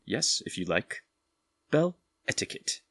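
An actor playing a prim, put-upon hotel desk clerk in a 1920s audio drama, formal, fussy and deadpan. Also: male voice, fairly low pitch, measured pace, creaky, breathy edge, full character voice. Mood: fussy, pained.

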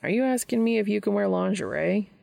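Woman voicing cheeky slangy young man, very creaky. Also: mid-range voice, lively intonation, a little fast, mellow. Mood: amused. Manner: casual slangy wisecracking. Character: young man, cheeky, quipping, bashful new lover.

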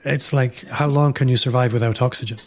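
A man hosting a podcast interview, talking in a reflective, measured way and building his points through repetition. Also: medium pitch, fairly even intonation. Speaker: podcast host.

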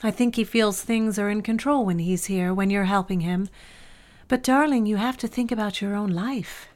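A worldly, motherly office confidante, voiced by a woman for an audio drama. Her voice sits at a mid pitch, and her manner is soothing and confiding, with a gossipy edge. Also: normal speed, darkish tone, natural voice. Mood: grave. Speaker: office coworker and former secretary.

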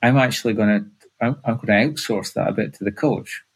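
Man with a lowish voice, relaxed host asking questions, thinks aloud as he talks. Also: a little fast, breathy edge. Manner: chatty, thinking aloud, with restarts. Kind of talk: conversational interview questions.

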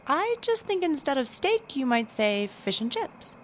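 Woman playing a meek, high-voiced, lilting sidekick. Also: very animated, unhurried, a little hiss or hum. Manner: meek, apologetic. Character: timid, apologetic sidekick who mildly corrects their boss. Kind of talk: comedy audio drama acting.

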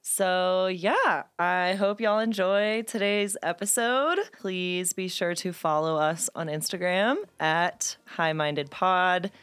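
A young adult woman hosting a casual podcast, bubbly and effusive, quick to laugh. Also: fairly low pitch, fairly bright tone, clean tone. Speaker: podcast host.

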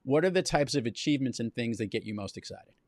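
A man hosting a podcast and asking guests questions in an upbeat, encouraging way, with big swings in pitch. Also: animated, quick pace, no echo, clean studio sound. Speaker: podcast host. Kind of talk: interview questions.

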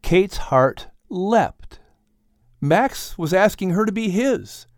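Expressive male narrator with lively pitch. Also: slow, no echo, studio quality. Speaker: audiobook narrator. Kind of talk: expressive children's story narration.

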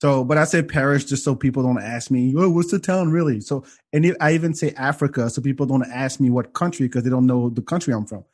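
A man's voice: mid-range voice, fast talker, hardly any pauses, darkish tone. Laid-back man from Senegal joking. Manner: loose, swearing, joking storyteller. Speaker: podcast host. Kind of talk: casual podcast chat.

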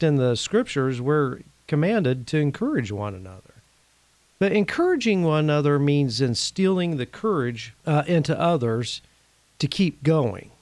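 A man from Indiana hosting a casual faith-based talk, his voice a bit rough from a recent cold, speaking earnestly and conversationally. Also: a little high, a little mellow, no echo. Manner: earnest, conversational, laughs now and then. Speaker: podcast host.

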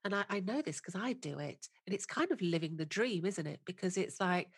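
A woman's voice: on the low side, animated, a little fast, breathy edge, no room sound, clean studio sound. A woman hosting a podcast, talking in an effusive, enthusiastic and chatty way. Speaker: podcast host. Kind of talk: conversational podcast interview.

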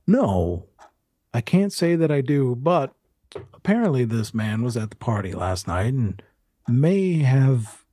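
A man playing a courteous, quietly menacing film studio boss in an audio drama, questioning slowly with insinuating hesitations. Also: medium pitch, husky, no room sound. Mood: pressing. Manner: halting, deliberate, insinuating questioning. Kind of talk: 1920s-set audio drama roleplay.

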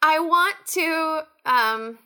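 A woman with a high, bright voice speaks as a roundtable panelist. She is thoughtful and deliberate, building her point in halting phrases. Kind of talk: thoughtful discussion.

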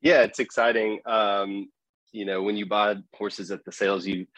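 A man with a very low, steady voice answers questions as a podcast guest. He speaks in a relaxed, hesitant way, full of fillers and restarts, with little rise and fall. The sound is dry and close. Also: moderate pace, dry recording, rough recording. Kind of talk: casual interview answers.

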